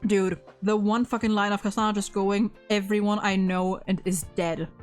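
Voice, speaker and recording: A woman's voice: mid-range voice, steady tone, normal speed, soft background music. A woman in a casual discussion of a video game, talking emphatically and swearing freely. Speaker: host.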